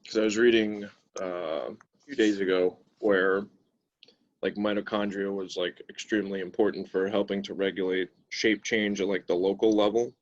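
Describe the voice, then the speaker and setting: A man's voice: fairly low pitch, fairly even intonation, moderate pace. A man in a casual professional discussion on a conference call, tentatively thinking aloud. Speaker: call participant, fitness or rehab practitioner. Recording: dull, lo-fi call audio.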